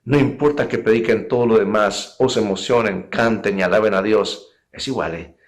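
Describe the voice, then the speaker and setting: A man's voice: fairly low pitch, fairly even intonation, moderate pace. A man preaching a sermon as a pastor, speaking earnestly and emphatically and addressing his listeners directly. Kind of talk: sermon with illustrative anecdotes.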